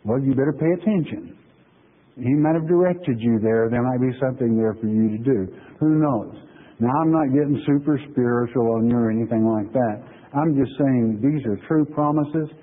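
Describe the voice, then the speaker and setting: A husky male preacher works through scripture in an easygoing, chatty way, lingering over each verse before he breaks it down. The sound is thin and phone-like. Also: medium pitch. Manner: folksy, conversational preaching with frequent "okay?" check-ins; reads verses slowly, then explains them plainly. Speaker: pastor. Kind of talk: sermon lecture on scripture.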